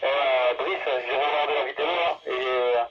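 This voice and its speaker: A man's voice: medium pitch, moderate pace. A man chatting casually as an amateur radio operator, heard through a radio, hesitant and often repeating words. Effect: radio transmission. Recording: dull, noisy two-way radio transmission.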